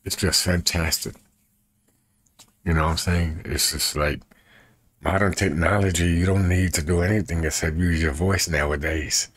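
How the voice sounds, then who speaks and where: A man in his fifties with a husky voice, chatting casually to camera in a folksy way and repeating himself as he demonstrates. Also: deep voice, fairly even intonation, normal speed, no room sound. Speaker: evangelist and video creator. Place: small bedroom, indoors.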